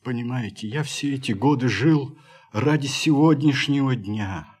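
An actor playing a frail, sentimental ageing settler on Mars in a radio play, with halting, sighing, emotional speech. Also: male voice, mid-range voice, a little mellow, husky. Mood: glad, eager. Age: older. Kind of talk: Russian radio play acting. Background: music.

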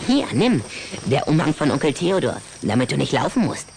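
Eine Frau spricht in einem Kinderhörspiel einen frechen, herrischen kleinen Vampirjungen, der gern neckt und prahlt. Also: female voice, sits low, very animated, normal speed, gravelly, noisy background, exaggerated voice. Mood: bossy, teasing. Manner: teasing, commanding, exclamatory. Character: cheeky, bossy little boy vampire, teasing and boastful. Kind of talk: German radio play acting for children.

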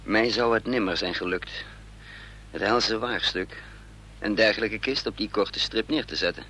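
Scherpe mannelijke verteller van een hoorspel. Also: male voice, lowish voice, moderate pace, pushed and bright. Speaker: first-person narrator. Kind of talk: scripted dramatic narration. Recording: radio play recording with faint noise.

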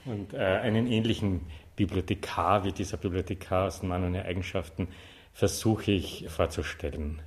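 A man with an Austrian accent answering interview questions conversationally, in a wry, playfully deadpan manner. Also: low-pitched, moderate pace. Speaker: interview guest, artist and self-appointed librarian.

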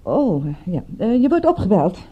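A woman playing an enigmatic, guarded and vulnerable female companion in a radio drama, in a gentle, understated manner. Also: medium pitch, very animated, normal speed, breathy edge, highs cut off. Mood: gentle, evasive. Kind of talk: radio drama dialogue acting.

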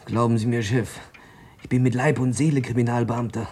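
Actor as husky, grumbling junior detective. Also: male voice, medium pitch, fairly even intonation, fairly quick, a little mellow, close to an everyday voice. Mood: weary, grumbling. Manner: informal, grumbling, deferential to his chief. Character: grumbling but dutiful junior police detective. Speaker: actor in a radio drama. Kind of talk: German radio play acting.

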